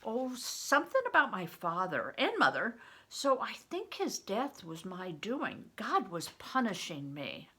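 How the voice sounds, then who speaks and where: A woman's voice: medium pitch, sing-song, a little slow, few pauses, pushed and bright, breathy edge, heavily acted. A woman voicing a sad, naive orphaned boy in a storybook, speaking plainly and earnestly. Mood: guilty.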